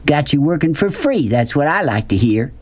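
An audiobook narrator voicing a large, loud Southern man, jovial and bossy, with a folksy, hollering manner. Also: mid-range voice, very animated, dry recording, voice pushed up. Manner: hollering, folksy rural speech, rapid-fire questions. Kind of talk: audiobook character dialogue.

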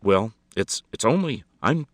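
A man voicing a sad, meek gentleman in a storybook, hesitant and apologetic, with halting, stammering speech. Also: lowish voice, sing-song, no room sound, studio quality, voice pushed up. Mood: resigned, mournful.

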